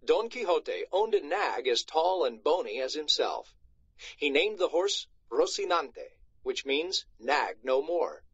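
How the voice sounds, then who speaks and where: Animated male storyteller, slightly husky. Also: a little high, takes it slowly, no echo, lo-fi sound. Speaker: narrator reading to schoolchildren. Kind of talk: expressive storybook read-aloud.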